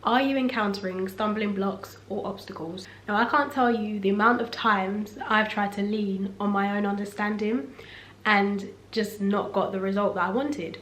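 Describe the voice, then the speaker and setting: Young woman, husky from a cough, reflective faith-centred vlog chat, medium pitch, roomy echo with faint background hum. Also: moderate pace. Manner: relaxed, reflective and faith-focused. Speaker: vlogger. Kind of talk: casual sit-down talk. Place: room at home. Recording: echoey room, faint ambience.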